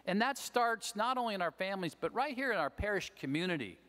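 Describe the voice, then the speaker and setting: A man's voice: high-pitched, sing-song, quick pace, pushed and bright. An American man in his sixties giving a homily in a conversational way, often checking in with "right?". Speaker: deacon preaching. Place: large church, at the ambo. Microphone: gooseneck lectern microphone. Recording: long church reverb over PA.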